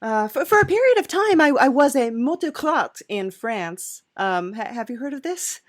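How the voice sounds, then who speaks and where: A woman playing an eager, servile devil's apprentice hosting a comedy podcast, gushing, halting and apologetic. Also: high-pitched, big pitch swings. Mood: eager, chatty. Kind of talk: improvised character comedy interview.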